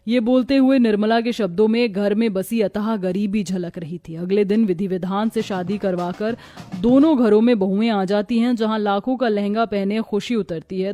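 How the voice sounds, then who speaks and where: A woman reading scripted narration for an animated moral story. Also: mid-range voice, dry recording.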